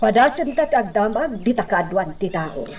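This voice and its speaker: A woman's voice: medium pitch, sing-song, moderate pace, soft background music, natural voice. An actress playing a determined, well-off lady in an Ilocano radio drama. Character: determined wealthy lady searching for a man. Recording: very noisy background.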